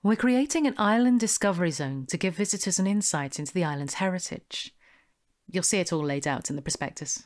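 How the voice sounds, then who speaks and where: Woman playing a low-voiced, bossy con artist. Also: lively intonation, lightly acted. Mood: smooth, persuasive. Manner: brisk, persuasive, controlling. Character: smooth, bossy female con artist posing as investment promoter. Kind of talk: audio drama acting.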